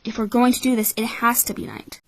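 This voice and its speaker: A woman's voice: a little high, level tone, fairly bright tone, no echo. Cynical, calculating boy, voiced by a woman, dry sound. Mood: cool, calculating. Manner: measured, blunt, explanatory. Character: cynical, calculating twelve-year-old orphan boy, coolly bitter. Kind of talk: anime-style fan dub acting.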